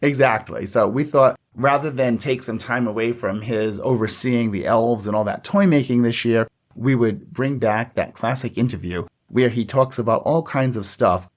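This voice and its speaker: A man's voice: mid-range voice, normal speed, hardly any pauses. A man co-hosting a library podcast in casual, joking chat. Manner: chatty, joking, with laughs and restarts. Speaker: podcast co-host.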